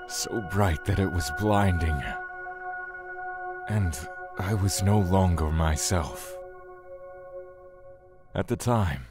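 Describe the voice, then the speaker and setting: A man playing a weary, brooding god who solemnly recounts his love and exile in an audio drama, with music underneath. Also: low voice. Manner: slow, solemn, brooding storytelling.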